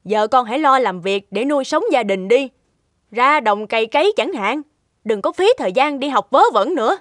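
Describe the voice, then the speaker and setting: A woman's voice: on the high side, expressive, normal speed, no room sound, clean studio sound, close to an everyday voice. Woman acting a scolding, scheming cartoon stepmother. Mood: stern scolding. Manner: sharp, scolding, domineering. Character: scheming, scolding middle-aged stepmother, greedy and domineering. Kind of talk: animated folk tale acting.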